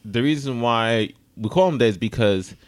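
A man's voice: medium pitch, normal speed, smooth, no room sound. A man hosting a casual sports talk podcast, speaking in a conversational, opinionated way. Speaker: podcast host.

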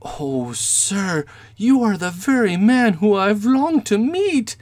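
A woman's voice: mid-range voice, very animated, takes it slowly, darkish tone. A woman playing a small, timid, guilty man in a Victorian detective drama, agitated and servile in manner. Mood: nervous, eager.

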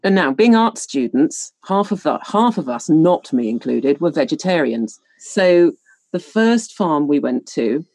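A woman's voice: sits low, sing-song, darkish tone, no room sound, low-quality recording. English woman, chatty storyteller, dry close sound. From England. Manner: chatty storyteller, quick bursts, laughing asides. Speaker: podcast guest. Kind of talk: casual interview chat.

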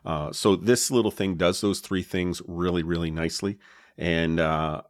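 A man with a low voice, co-hosting a podcast and explaining his gear in a relaxed way, with many fillers, on a dynamic mic with a pop filter. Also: moderate pace, no room sound, studio quality. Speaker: podcast co-host. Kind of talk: casual chat about podcast gear. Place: home podcast setup. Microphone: dynamic podcast mic with pop filter and foam cover.

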